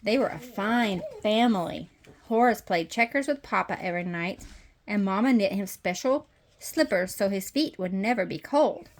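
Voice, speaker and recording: A woman reading a children's picture book aloud as a storytime host, with expressive storytelling. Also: medium pitch, normal speed. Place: messy playroom at home. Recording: noisy home room with clicks.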